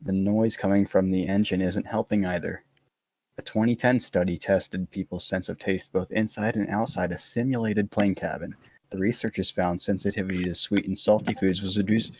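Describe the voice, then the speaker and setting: A man reading an explainer script aloud to friends in an online voice chat, tripping over words and breaking off to joke. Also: deep voice.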